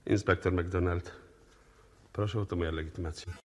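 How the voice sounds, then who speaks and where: An actor playing a composed, methodical police inspector in a radio drama, speaking formally and courteously with probing intent. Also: male voice, deep voice, fairly even intonation, moderate pace, a little hiss or hum, lightly acted. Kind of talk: 1960s Polish radio drama acting.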